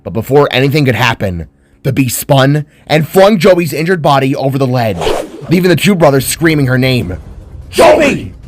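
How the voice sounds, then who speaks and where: A man's voice: medium pitch, lively intonation, brisk, a little hiss or hum. A man reading a scripted fantasy story as a dramatic narrator.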